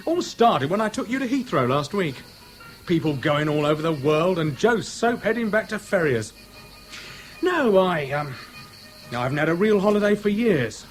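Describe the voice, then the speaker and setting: A British actor in a 1970s radio drama playing a chatty, outwardly affable man who nurses a grudge, speaking in casual slang. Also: a little high. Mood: affable, breezy. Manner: colloquial, slangy, casually insinuating.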